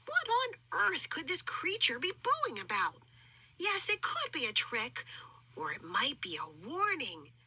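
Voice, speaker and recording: A woman plays a kindly, thoughtful storybook elephant. The voice is high and very bright, with earnest delivery and lively swings in pitch. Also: unhurried, full character voice, poor audio. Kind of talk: children's picture-book character reading.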